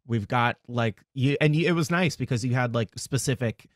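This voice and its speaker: A man's voice: mid-range voice, moderate pace, no echo, clean studio sound. Chatty young man hosting an interview. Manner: chatty, friendly, frequent "um" and "like". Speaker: interviewer host. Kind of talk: casual interview conversation.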